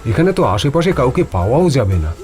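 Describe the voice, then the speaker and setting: A man voicing an anxious, stranded doctor in an animated horror story, polite but urgent and insistent. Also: mid-range voice, a little fast, natural voice. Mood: worried, frustrated. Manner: urgent, questioning, exclaiming. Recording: music behind the voice.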